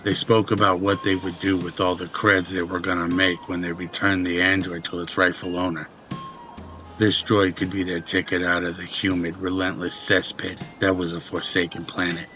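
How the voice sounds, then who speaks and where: Deep, even-toned male narrator, bright edge. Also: moderate pace, soft background music. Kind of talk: scripted audio drama narration.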